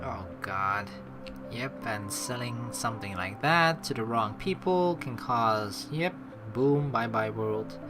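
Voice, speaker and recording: Young guy doing a lets play, reads the story out loud n chats about it, animated pitch, soft game music behind. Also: slow pace. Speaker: let's play host. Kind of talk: reading game narration aloud with casual commentary.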